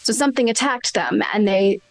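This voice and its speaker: A woman's voice: mid-range voice, a little fast, dry recording, poor audio. A woman playing a tabletop roleplaying game, thinking aloud in a tentative, casual way. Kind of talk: improvised in-game discussion and casual table talk. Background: background music.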